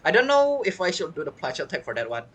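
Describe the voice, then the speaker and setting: A woman's voice: on the low side, big pitch swings, fast talker, husky. A woman giving casual, chatty gameplay commentary as a streamer, with teasing asides. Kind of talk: casual game playthrough commentary.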